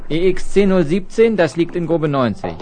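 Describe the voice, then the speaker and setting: A man acts a wary, cynical sidekick in a 1970s radio drama. His voice is somewhat high and swings widely. Light record noise runs beneath it. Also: animated, normal speed, a little mellow, lightly acted. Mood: skeptical. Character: wary, cynical male informant buddy. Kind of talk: 1970s German radio play acting. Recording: hissy 1970s vinyl radio play.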